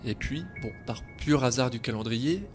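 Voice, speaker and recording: A man tells a scripted podcast story in a wry voice pitched in the middle range. Music plays clearly behind him. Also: moderate pace. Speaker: narrator.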